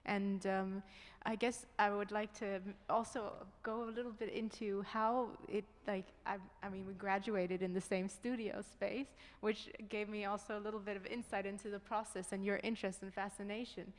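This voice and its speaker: A woman's voice: medium pitch, flat delivery, moderate pace. A young woman with a mid-range voice hosts a casual interview. She feels her way through her phrases conversationally, and her voice rings with long reverb, as in a big hall. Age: young adult. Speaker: interviewer, event host.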